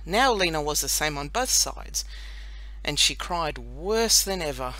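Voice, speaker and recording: A woman narrating a children's audiobook in an expressive, deliberate storytelling manner. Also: low voice, no echo, rough recording. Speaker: audiobook reader.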